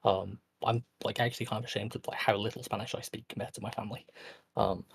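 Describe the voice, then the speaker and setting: Tired, gravelly-voiced hesitant man. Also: fairly low pitch, steady tone, fast. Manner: hesitant, filler-heavy, restarts often. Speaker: guest. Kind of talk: casual debate chat.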